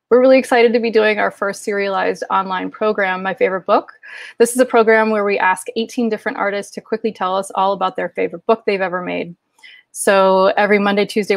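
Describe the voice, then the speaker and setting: A woman's voice: mid-range voice, no room sound. A middle-aged woman hosting an online program from home, introducing it in a casual presenting style over a video call. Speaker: host. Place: home room indoors, kitchen shelves behind. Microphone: video call, wearing headphones.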